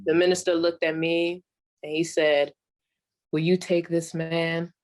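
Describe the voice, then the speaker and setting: A woman playing a sassy old comedienne griping about her ancient husband, in the deadpan, drawn-out style of a 1940s radio stand-up monologue. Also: on the low side, lightly acted. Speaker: comedienne performer.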